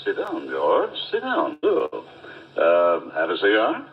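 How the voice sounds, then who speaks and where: An actor playing a scheming, domineering old rich businessman in a 1940s radio drama, pompous and deliberate, condescending in manner. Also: low voice, huge pitch swings, slight background noise, full character voice. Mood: smooth, condescending. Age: older. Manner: pompous, deliberate, coaxing then snapping. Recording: tinny, narrow 1940s radio recording.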